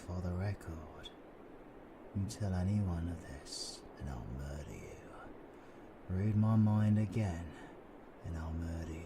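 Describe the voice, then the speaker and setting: A man playing a cocky, menacing, flirtatious British supervillain in an intimate roleplay audio drama, breathy and taunting. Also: low-pitched, a little slow, pauses often, noisy background. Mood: teasing, threatening. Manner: taunting, breathy, swings between whispering and shouting.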